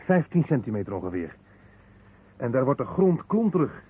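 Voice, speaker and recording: An actor playing an eager, informal amateur sleuth in an old radio detective drama. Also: male voice, medium pitch, big pitch swings, moderate pace, slightly rough. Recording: muffled, narrow-band vintage radio recording.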